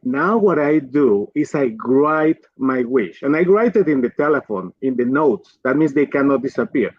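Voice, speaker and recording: A man's voice: mid-range voice, expressive, moderate pace. A middle-aged man from Colombia, a native Spanish speaker with a Colombian accent, giving conversational career advice in an earnest, emphatic way as a guest. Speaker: guest, physician and executive. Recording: dull, lo-fi livestream audio.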